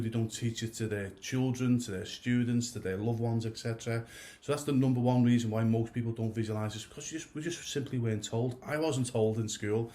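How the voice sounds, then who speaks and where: A man's voice: medium pitch, mellow. A man in his thirties or older giving a casual coaching talk to goalkeepers. He rambles, restarts and goes off on tangents, and his nose is stuffy from a cold. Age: 30s or older. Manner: rambling, many restarts and asides.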